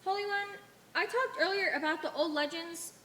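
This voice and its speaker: A woman's voice: extremely high pitch, moderate pace, sharp tone, slight background noise. A young woman playing an earnest, questioning follower in an amateur stage play, with a hesitant, searching manner. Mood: curious, questioning. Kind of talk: amateur one-act stage play acting. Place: theater stage.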